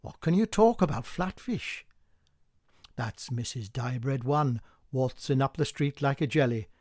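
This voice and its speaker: A man narrating a poetic radio play in an expressive storytelling manner. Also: mid-range voice, unhurried, no echo, clean studio sound. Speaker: amateur reader and narrator. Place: dry home recording.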